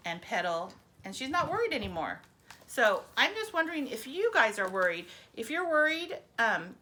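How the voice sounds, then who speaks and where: A woman reads a children's picture book expressively, breaking off for chatty asides to her young listeners. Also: a little high. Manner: animated storyteller, chatty asides addressed to children.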